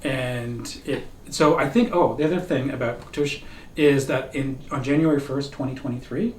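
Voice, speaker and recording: A man's voice: medium pitch, moderate pace, darkish tone. A middle-aged man co-hosting a casual chat, telling stories and laughing often. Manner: chatty storyteller, laughs often. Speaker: co-host. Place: wood-panelled cabin room, seated at table. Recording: roomy, distant, faint hiss.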